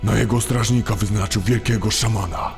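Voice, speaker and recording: Man playing a gravelly, grave orc shaman. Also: on the low side, steady tone, a little fast, lightly acted. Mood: grave, foreboding. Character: grave orc shaman and seer serving the warlord. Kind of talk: fantasy audio drama acting. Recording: hall reverb, faint music.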